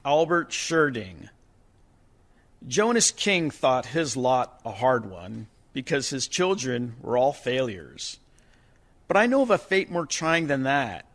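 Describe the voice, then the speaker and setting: A man playing a defeated, self-pitying father in a solemn, measured radio monologue. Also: medium pitch, very animated, fairly bright tone, heavily acted. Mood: rueful, defeated. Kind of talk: theatrical monologue for community radio play.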